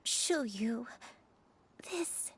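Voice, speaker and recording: A sorrowful elderly grandmother in a video game. She speaks very slowly and haltingly, tender and lost in fading memories. The voice sits a little high, with faint noise around it. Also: expressive, exaggerated voice. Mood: haunted, sorrowful. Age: older. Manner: slow, halting, wistful.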